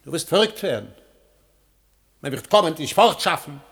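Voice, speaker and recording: An actor in a German radio play voicing an obsessed, domineering scholar and husband with an intense, emphatic way of talking. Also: male voice, fairly high pitch, lively intonation, normal speed, creaky, slightly rough, exaggerated voice. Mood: furious, scornful.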